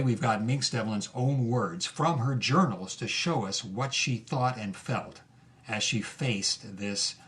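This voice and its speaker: An actor playing an earnest, scholarly podcast host, narrating a scripted documentary drama with measured, emphatic phrasing. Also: male voice, lowish voice, fairly bright tone.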